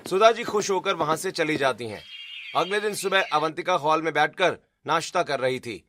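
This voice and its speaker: Bright-voiced man narrating a story. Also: fairly high pitch, expressive, moderate pace, a little hiss or hum. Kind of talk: scripted narration of a family drama cartoon.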